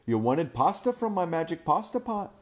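A stern old witch woman from a storybook speaks firmly and with measure, as a man puts her on. Her voice rises and falls in a lively way. It sits a little high. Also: normal speed.

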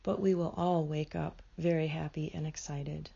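A woman leading a guided meditation in a slow, soothing way, often dropping into soft whispers. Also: deep voice, flat delivery, gravelly, slightly rough, lo-fi sound.

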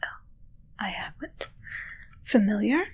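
A woman playing a possessive, commanding witch in a whispered ASMR roleplay, softly taunting and close to the microphone. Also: medium pitch, expressive, takes it slowly, husky, heavily acted. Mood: imperious, demanding. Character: possessive, commanding young female witch addressing her familiar.

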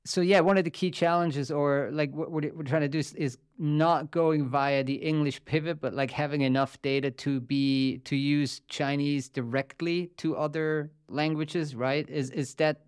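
Crisp-voiced male podcast host. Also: a little high, steady tone, moderate pace, few pauses, dry recording, studio quality. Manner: loose, rephrasing questions as he goes. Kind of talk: interview questions. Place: home office. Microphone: studio mic on arm.

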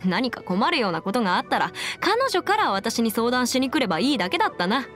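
A woman voicing a confident, brisk young half-immortal lawyer in an anime game, with crisp, formal phrasing and music behind her. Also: fairly high pitch, big pitch swings, fairly bright tone. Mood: indignant, determined. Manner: crisp, self-assured, formal mannish phrasing. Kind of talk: scripted anime game character voice lines. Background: background music.

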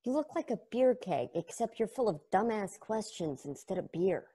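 A woman's voice: medium pitch, animated, moderate pace, warm tone, husky. A woman playing a foul-mouthed mocking space probe. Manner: crude, mocking, sarcastic. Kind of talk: amateur video-call table read.

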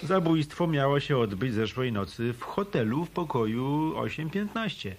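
A hard-boiled private eye from a radio play, acted by a man, with a middle-pitched voice. He talks dryly and deliberately, probing like a shrewd former hotel detective. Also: animated, slight background noise, natural voice. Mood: accusing. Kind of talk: Polish radio drama dialogue.

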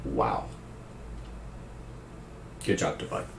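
A young man with a very low, naturally raspy voice, talking slowly and easily in casual reaction commentary. He swears freely and praises earnestly. His voice rings around a roomy room with a faint hiss behind it. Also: expressive. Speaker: music reaction host. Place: indoor room at a streaming desk.

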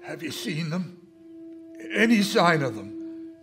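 An actor plays a grief-broken working man in an audio drama, haunted and quietly menacing. He questions tersely and insistently, his fairly high voice swinging widely in pitch. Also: big pitch swings, takes it slowly, over music, heavily acted. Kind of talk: live audio drama acting.

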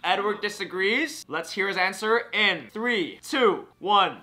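A young man in his twenties hosting a casual debate game in a bright studio room, with playful, quick banter. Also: high voice, expressive. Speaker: host. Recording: music playing behind.

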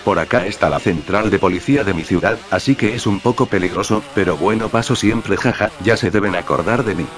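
A man's voice: fairly low pitch, a little fast, hardly any pauses. A man giving casual, laid-back commentary as a gaming motovlogger. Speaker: gaming vlogger. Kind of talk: casual motovlog-style commentary over gameplay. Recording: engine noise over the voice. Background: buzzing motorbike engine from a video game.

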